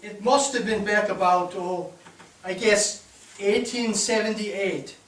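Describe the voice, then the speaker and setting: Defensive, reminiscing drinker, acted by a man, high voice, stage reading picked up from a distance. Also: slow pace, fairly bright tone. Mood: halting. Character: hesitant, defensive drinking man looking back on his past. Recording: echoey stage, distant mic.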